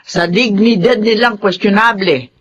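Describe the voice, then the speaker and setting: A woman playing a caring, plain-spoken middle-aged mother and vendor with a Filipino accent, expressive in radio drama style. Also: medium pitch, a little slow, lo-fi sound, close to an everyday voice. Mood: indignant. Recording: faint background noise.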